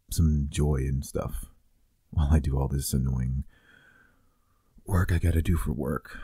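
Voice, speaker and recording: A man's voice: extremely low pitch, warm tone, breathy edge, no echo, full character voice. A man playing a teasing, affectionate boyfriend in an intimate, casual ASMR roleplay, murmuring playfully.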